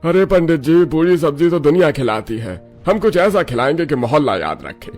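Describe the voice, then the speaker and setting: A man voicing men in a cartoon story, with lively, expressive delivery. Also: fairly high pitch, moderate pace, soft background music. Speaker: voice actor voicing several men. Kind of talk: cartoon dialogue.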